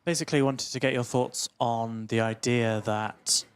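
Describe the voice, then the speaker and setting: A man in an audience asking an unscripted, thoughtful question, pausing between phrases. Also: medium pitch, steady tone, clean tone. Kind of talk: unscripted question at a public talk.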